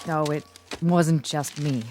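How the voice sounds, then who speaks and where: An actress playing an earnest, modest research assistant to a codebreaker in a radio drama. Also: female voice, low voice, steady tone, takes it slowly, a little mellow, a little hiss or hum. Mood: modest, careful.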